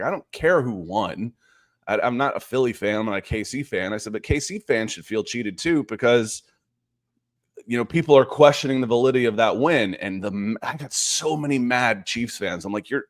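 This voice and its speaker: A man's voice: medium pitch, dry recording, clean studio sound. A man in his fifties hosting a casual live sports podcast, chatting conversationally with frequent asides. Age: 50s. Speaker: podcast host.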